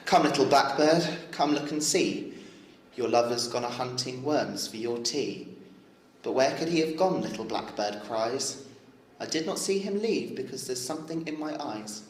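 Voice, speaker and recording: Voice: mid-range voice, expressive, moderate pace, lightly acted. An actor playing an earnest, bookish and stubborn young man, naive and a little defensive, in an audio drama. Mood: singsong. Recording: echoey, noisy ambience.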